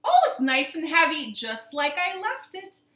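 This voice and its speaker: A woman's voice: high voice, sing-song, unhurried, bright, forceful tone, voice pushed up, full character voice. A woman voicing a sly, smug male trickster fox in a children's folktale, with a sing-song manner. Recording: roomy, echoing room sound.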